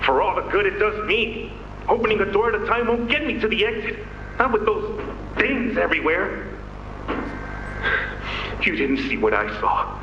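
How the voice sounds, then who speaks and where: An actor playing a terrified, trapped warden in a video game, pleading and stammering. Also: male voice, high voice, very animated, moderate pace, pauses often, echoey room, lo-fi sound, noisy background. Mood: fearful, despairing. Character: panicked, terrified male prison warden trapped during a rebellion. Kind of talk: dramatic video game voice acting.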